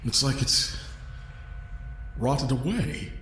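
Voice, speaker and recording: A man's voice: mid-range voice, animated, extremely slow, a bit bright, roomy sound, slight background noise. A man playing a trapped adventurer in an audio drama, folksy, polite and wry, with an understated, hesitant manner. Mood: curious, courteous.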